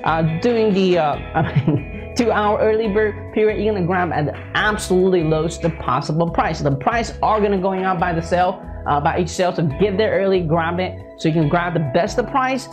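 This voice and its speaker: A man's voice: sits high, moderate pace, music in the background. A man hosting an interview in an upbeat sales style, repeating himself and checking in often, with non-native English phrasing. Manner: repetitive, hype-heavy delivery with frequent check-in tags. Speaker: interview host and product promoter.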